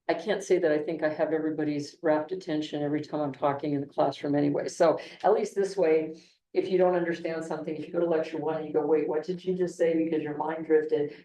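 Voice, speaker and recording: A woman teacher talks to her class in a loose, conversational way, circling back on her sentences. Her voice sits low and mellow. She is picked up from across an echoey classroom. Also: distant mic, lo-fi sound. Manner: conversational, with frequent restarts and "okay". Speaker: engineering instructor. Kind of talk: classroom lecture.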